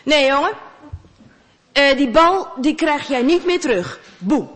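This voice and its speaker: A woman voicing a grumpy old recluse who gruffly sends a boy and his father away. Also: high voice, lively intonation, unhurried, fairly bright tone, voice pushed up. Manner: gruff, dismissive.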